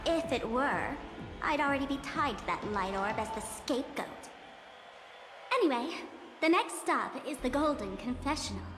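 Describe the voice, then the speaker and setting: A woman's voice: high-pitched, expressive, moderate pace. A woman voicing a playful, sly, teasing female trickster in a video game, with theatrical delivery. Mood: sly, explaining. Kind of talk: video game character acting. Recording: echoey hall reverb, loud music behind. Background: music.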